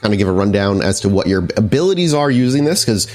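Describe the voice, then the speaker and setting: A man's voice: lowish voice, big pitch swings, quick pace, a little mellow. A man narrating a tabletop roleplaying game as storyteller and host, in a theatrical, scene-setting way. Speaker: game master and podcast host.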